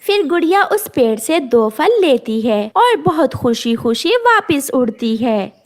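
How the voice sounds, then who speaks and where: A woman's voice: high-pitched, big pitch swings, slow pace. A woman reading scripted narration for a children's story as the storyteller.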